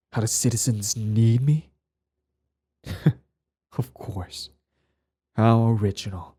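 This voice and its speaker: A man playing a cold, sardonic villain with secret tenderness in an intimate ASMR roleplay, his voice low, husky and breathy. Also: mellow. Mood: mocking, sardonic. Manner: slow deliberate delivery, sardonic, condescendingly affectionate. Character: cold, mocking male villain, protective ex-hero, secretly tender.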